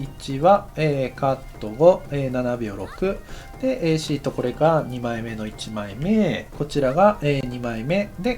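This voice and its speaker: A man's voice: on the high side, darkish tone. A man giving a casual instructional lesson in a conversational manner, as a director explaining his work step by step. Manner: conversational, counts aloud while working. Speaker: animation director teaching.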